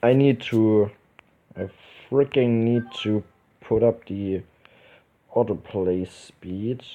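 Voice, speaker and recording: A man from Germany with a German accent hosting a casual let's play, reading visual novel text aloud haltingly and correcting himself as he goes. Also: fairly low pitch, fairly even intonation. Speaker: gaming video host. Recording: dull lo-fi microphone, music behind.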